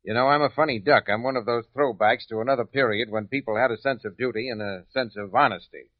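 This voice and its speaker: Male actor as formal, deliberate attorney spoiling for a fight, medium pitch, muffled 1940s-style radio sound. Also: no room sound, close to an everyday voice. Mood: stern, resolute. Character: proud, combative male prosecutor, formal and principled. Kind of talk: old-time radio drama acting. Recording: dry, muffled vintage radio recording.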